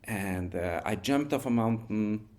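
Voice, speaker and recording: A man, telling a story as a podcast guest in a relaxed, measured way with frequent restarts. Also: lowish voice. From Norway. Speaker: guest, wingsuit pilot.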